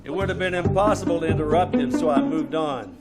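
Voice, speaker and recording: A man playing a wry, wisecracking private detective, narrating deadpan in live old-time radio style. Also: a little high, brisk, over loud music, lightly acted. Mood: wry storytelling. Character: wry, dry-witted male private detective narrating his case. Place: small-town theater stage, live performance.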